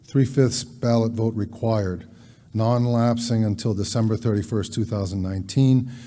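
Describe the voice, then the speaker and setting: Man, selectman at a town meeting, reading a warrant article in a quiet, deep-toned, formal delivery. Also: steady tone, moderate pace.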